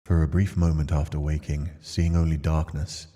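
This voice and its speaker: A man reading aloud in the first person as a numb, brooding young nobleman exiled to a mansion, in a measured, subdued way. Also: a bass voice, little pitch movement, dark tone, in a large hall. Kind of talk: reading game text aloud.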